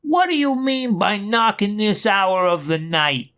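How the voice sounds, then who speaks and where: A man doing a shrill, flustered butler. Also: animated, unhurried, sharp tone, clear, resonant tone, full character voice. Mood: indignant. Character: indignant shrill male butler, easily panicked.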